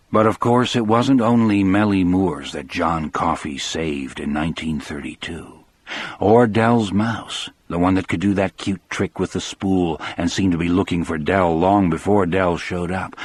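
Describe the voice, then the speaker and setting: A man acting grief-stricken old former prison guard, husky, dry close sound, measured somber audiobook storytelling. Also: sits low, no echo. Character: grief-stricken elderly former prison guard recounting his wife's death. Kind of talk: dramatic first-person audiobook narration.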